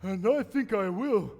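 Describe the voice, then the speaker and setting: A man acts out a bumbling, cheerful uncle in his late fifties, very high-pitched and excitable. He rambles and exclaims in old radio-play style, recorded live in a reverberant hall. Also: vocal fry, heavily acted. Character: bumbling, cheerful, boozy old uncle of fifty-five-plus. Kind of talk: 1940s radio play acting. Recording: echoey hall, live stage taping.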